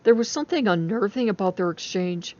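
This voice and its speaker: A woman's voice: mid-range voice, expressive, fairly quick, a little mellow, dull audio. A woman reading a story aloud as a dramatic audiobook narrator. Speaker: story reader.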